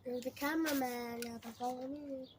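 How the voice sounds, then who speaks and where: A child with a high voice hosts a homemade video. The talk is casual and bossy, with instructions said over and over. Faint noise runs through the amateur recording. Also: steady tone, fairly quick. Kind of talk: casual chat to camera and companion.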